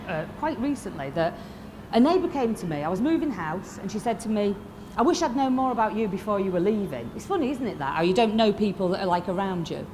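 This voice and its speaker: A woman in her fifties with a Yorkshire accent, giving a blunt, lively motivational talk to a live audience. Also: medium pitch, background noise. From Lancashire, England. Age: 50s. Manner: lively, blunt and swearing, asks the crowd questions. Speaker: motivational speaker. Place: expo stage before a live audience.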